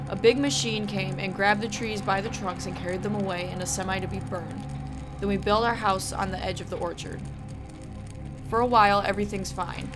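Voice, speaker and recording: A young woman co-hosts a storytelling podcast with a mid-range voice. She talks casually and reads spooky tales aloud. Music plays loudly underneath her throughout. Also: medium pitch. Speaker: podcast co-host. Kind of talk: casual chat and reading a scary story aloud. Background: background music.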